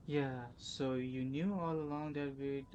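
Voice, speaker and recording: A woman giving casual playthrough commentary, with hesitant, low-key reactions. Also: fairly even intonation, unhurried, a little mellow, muffled recording, slight background noise. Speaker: gaming commentator. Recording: game music behind the commentary.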